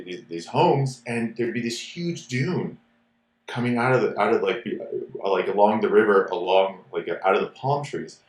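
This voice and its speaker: A man who grew up in Ecuador tells stories as a podcast co-host, rambling and animated, with "like" in nearly every phrase. His voice sits low. The room around him is echoey. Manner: rambling and animated, many "like" fillers. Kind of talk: casual storytelling chat.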